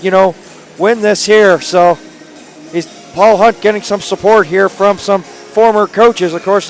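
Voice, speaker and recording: Raspy, high-voiced hockey play-by-play man. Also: rough recording. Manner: rapid, rising to excited calls on scoring chances. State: hoarse from days of calling games. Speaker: play-by-play announcer. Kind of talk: live hockey play-by-play commentary. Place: arena broadcast booth.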